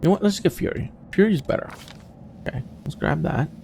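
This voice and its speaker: A man's voice: a little high, lively intonation, brisk, creaky, a little mellow, slight background noise. A man giving casual gameplay commentary, thinking aloud as he weighs his choices. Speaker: gamer commenting on own playthrough.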